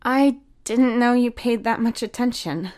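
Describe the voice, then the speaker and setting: A woman's voice: on the high side, unhurried, a little mellow, pitched above the performer's own voice, lightly acted. A woman playing a playful, supportive big sister. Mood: gently encouraging. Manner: teasing, encouraging, casual.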